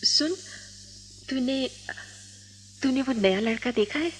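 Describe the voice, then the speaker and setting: A shy young college girl in love for a radio play. Her voice is on the high side, coy and emotional, with a hesitant, dreamy way of speaking. Noticeable noise sits behind the recording. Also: takes it slowly, a bit bright. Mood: coy curiosity. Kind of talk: Hindi radio drama acting.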